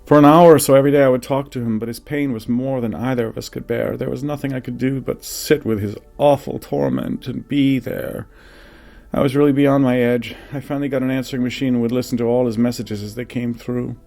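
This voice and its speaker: Male narrator doing a troubled young guy opening up, guilty and plain-spoken, soft dark tone, audiobook testimony. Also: medium pitch, steady tone, brisk, gravelly, voice pushed up, lightly acted. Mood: guilty, burdened. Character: guilt-ridden young man confessing his pain. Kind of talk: audiobook reading of a personal testimony.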